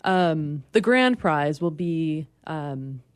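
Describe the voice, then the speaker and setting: A woman with a slightly low voice shares information as a radio panelist. She explains things in a measured, hesitant, conversational way, recorded dry and close. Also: lively intonation, smooth, no echo. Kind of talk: informative casual chat.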